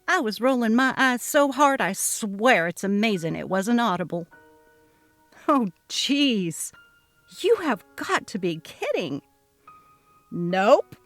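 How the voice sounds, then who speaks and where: A woman narrating a short story as a dramatic, gossipy reading, expressive in her delivery. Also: high voice, dry recording.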